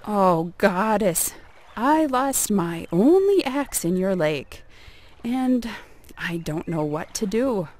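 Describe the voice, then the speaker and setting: Woman putting on a greedy man's drawn-out pleading. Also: mid-range voice, close to an everyday voice. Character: greedy scheming male neighbor feigning misery.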